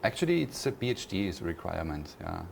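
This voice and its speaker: A man's voice: low-pitched, big pitch swings, gravelly, a little hiss or hum. A man from Austria, a native German speaker, answering interview questions in English with an Austrian German accent, thoughtful and full of fillers and restarts. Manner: thoughtful, many pauses and fillers, restarts phrases. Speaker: university lecturer, interview guest.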